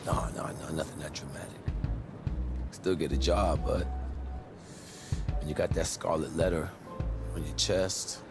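An American actor playing a middle-aged basketball fixer in a video game, earnest and persuasive, with a measured, streetwise manner. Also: male voice, rumbling low, steady tone. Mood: matter-of-fact. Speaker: basketball agent and former college recruiter. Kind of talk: video game cutscene acting. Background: music.

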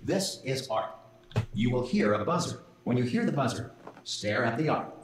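A man's voice: mid-range voice, sing-song, lightly acted. Echoing, officious male automated announcer, acted by a man. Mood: calm, official. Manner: crisp, measured, impersonal, cheerfully official. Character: calm, officious male facility announcer machine. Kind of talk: formal automated public announcement in video game. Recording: very echoey announcement with music behind.

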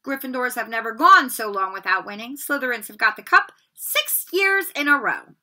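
A woman's voice: fairly high pitch, expressive, moderate pace, bright, forceful tone. A woman voicing a pompous old male ghost who speaks formally and gets easily miffed. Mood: rousing. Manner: formal, fussy and stiff.

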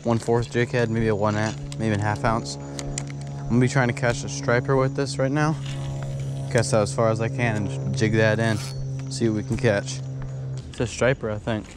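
A man's voice: on the low side, fairly even intonation, normal speed, over music. Laid-back young man fishing off a dock. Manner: laid-back, loose with fillers like "sorta" and "like". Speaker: fishing vlogger. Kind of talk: casual narration while fishing. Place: outdoors on a dock by the water, evening into night. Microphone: head-mounted action camera. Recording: outdoor noise, insects and crickets.

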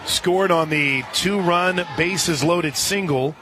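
A man doing live radio play-by-play of a softball game in a conversational way, with folksy asides. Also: high-pitched, slow. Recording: crowd noise and music behind. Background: ballpark crowd and music.

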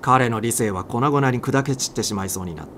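A man's voice: mid-range voice, brisk, fairly bright tone. A man reading a story aloud as a dramatic audiobook narrator. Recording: clear background noise.

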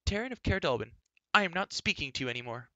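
A man's voice: on the high side, lively intonation, brisk, creaky, pushed and bright, no room sound, highs cut off, voice pushed up, full character voice. A man voicing a spirited, headstrong, talkative young girl in a storybook, chattering emphatically and with indignation. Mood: hurt, furious. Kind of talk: acted audiobook dialogue.

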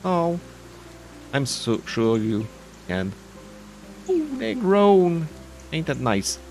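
A man plays a game and talks through it casually in an unhurried, very animated voice. He rambles and mutters asides to himself, with faint music behind him. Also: a little high. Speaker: gamer narrating his playthrough.

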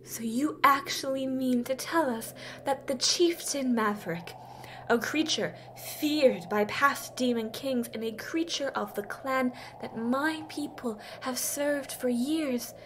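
Woman acting a high-voiced, haughty siren empress. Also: takes it slowly, a bit bright, a little hiss or hum, low-quality recording. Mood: stunned. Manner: imperious, theatrical, formal. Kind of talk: fantasy horror audio drama.

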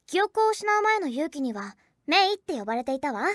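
A woman's voice: high-pitched, very animated, brisk, no room sound, studio quality. A woman playing a frank fourteen-year-old schoolgirl in an anime audio drama, casual and straightforward. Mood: matter-of-fact.

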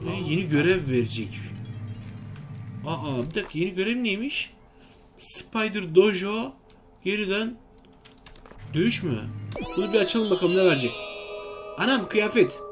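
A man's voice: high voice, lively intonation, normal speed, pauses often, faint music behind. A man giving casual, exclamatory let's-play commentary, talking to himself and his viewers. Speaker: gaming video host.

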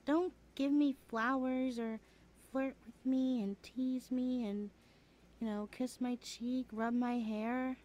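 A woman's voice: a little high, fairly even intonation, slow, a little mellow, low-quality recording. A woman playing a chatty, teasing young adult best friend in a casual, hesitant ASMR roleplay. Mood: whiny, indignant. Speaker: voice actor in audio roleplay. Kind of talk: ASMR roleplay, chatty one-sided conversation.

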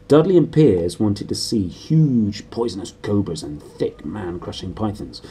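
A man in his thirties with a British accent, reading a storybook aloud in an animated way. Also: low-pitched, slight background noise, low-quality recording. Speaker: book reader. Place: small room indoors.

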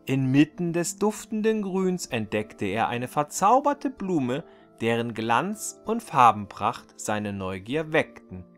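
A man reading a fairy tale aloud as a storyteller, in an expressive, deliberate scripted storybook delivery. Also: mid-range voice, faint music behind.

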